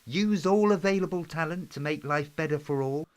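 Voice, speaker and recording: A man's voice: fairly high pitch, normal speed, natural voice. A man playing a polite, folksy British Prime Minister in an amateur play, chatty and a little hesitant as he gives a public address. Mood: polite, addressing crowd. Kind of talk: amateur play script performance.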